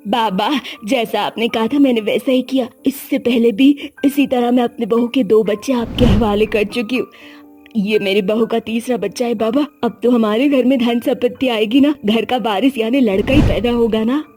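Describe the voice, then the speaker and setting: A bossy, scheming older mother-in-law, as a woman narrator puts her on, scolding in a firm, commanding tone. Her voice stays high and pointed, with faint music playing beneath. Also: moderate pace, close to an everyday voice.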